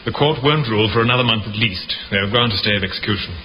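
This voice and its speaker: A reassuring prison visitor played by a male actor talks in a lowish voice. The sound is a muffled, noisy 1950s radio drama recording. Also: racing, close to an everyday voice.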